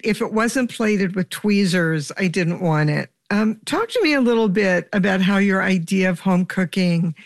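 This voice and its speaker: A woman's voice: fairly low pitch, no echo. Woman hosting an interview, dry studio sound. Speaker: radio show host, interviewer. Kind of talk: scripted introduction and conversational interviewing.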